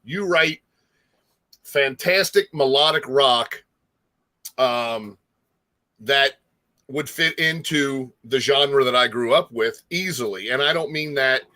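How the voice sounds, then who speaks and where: A man's voice: mid-range voice, slow, long pauses, bright, forceful tone. A middle-aged man hosting a casual music interview, speaking in an effusive, admiring manner. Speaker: talk show host.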